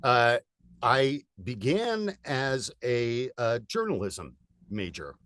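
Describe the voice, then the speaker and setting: A man in his forties from California, a voiceover artist chatting as a guest in an upbeat, energetic way with lots of fillers. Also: medium pitch, slow, no echo, clean studio sound. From United States (California). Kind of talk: casual interview chat.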